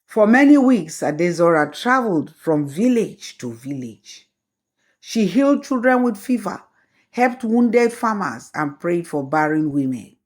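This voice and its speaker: A woman telling a folktale as scripted storybook narration, in a slow, measured way. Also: lowish voice, sing-song, darkish tone.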